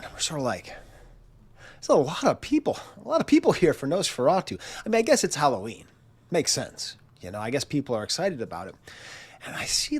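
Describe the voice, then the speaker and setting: A man's voice: on the high side, slightly rough. Nasal, congested man telling a story. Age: 40s. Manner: chatty storyteller, self-mocking, acts out quotes. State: nasal from a chest cold. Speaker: channel host. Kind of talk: casual spoken monologue.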